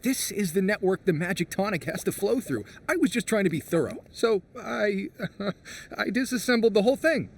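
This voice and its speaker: A jittery little forest creature. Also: male voice, high voice, expressive, normal speed, slightly rough, full character voice. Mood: flustered. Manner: eager, stammering, defensive. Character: fussy small male forest creature, thorough and excitable. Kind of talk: game cutscene dub. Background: music.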